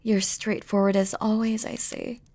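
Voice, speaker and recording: A woman playing a devoted, regal demon queen in a romantic audio roleplay, speaking softly and formally to her lover, often dropping to a whisper. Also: mid-range voice, little pitch movement, normal speed, natural voice. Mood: soft, affectionate. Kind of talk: scripted romantic audio roleplay, spoken intimately to the listener.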